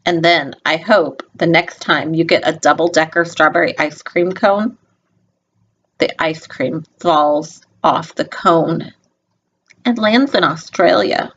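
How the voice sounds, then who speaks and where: A woman with a fairly low voice reads a children's picture book aloud. She is expressive and informal, speaking straight to her young listeners with chatty, gently instructive asides. The recording is slightly dull and lo-fi, like a home video. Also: slow pace, husky.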